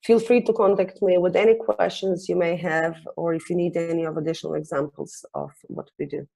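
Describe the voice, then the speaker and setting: A woman's voice: on the low side, fairly even intonation, a little fast, gravelly, mellow, low-quality recording. A woman from Croatia, presenting at an education conference in a polite, brief manner.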